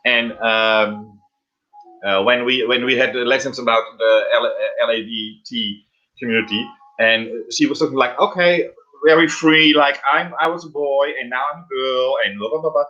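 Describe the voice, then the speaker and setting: A middle-aged man with a Dutch accent chats in English in a halting way, restarting and repeating words and breaking into laughs. His voice is bright and forceful. The live stream audio is dull and lo-fi. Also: medium pitch. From Netherlands. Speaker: drag performer and guest. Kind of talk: casual interview chat.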